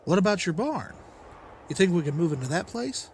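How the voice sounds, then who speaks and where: Practical male survivor played by a man, higher pitch, inquiring, motion comic drama, faint noise. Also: very animated, a little fast, darkish tone. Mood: curious. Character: friendly, practical male survivor seeking shelter.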